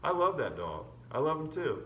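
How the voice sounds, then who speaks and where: A man's voice: on the high side, sing-song, normal speed, bright, forceful tone, far from the mic. A man voicing a weary, grieving preacher father, earnest and resigned, in a storybook reading. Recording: roomy echo with background noise.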